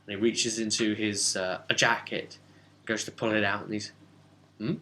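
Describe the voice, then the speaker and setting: A man hosting as game master, informally recapping a tabletop roleplay session in an animated, reflective way. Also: lowish voice, normal speed. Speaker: game master and channel host.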